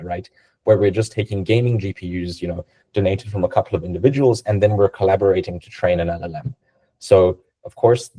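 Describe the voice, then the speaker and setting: A man whose first language is Serbian gives a technical talk, loose and chatty, dotting his points with "right?" His voice sits low, with a husky, dark, mellow tone. It comes through a video call. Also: poor audio. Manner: conversational, many fillers and "right?". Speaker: guest speaker.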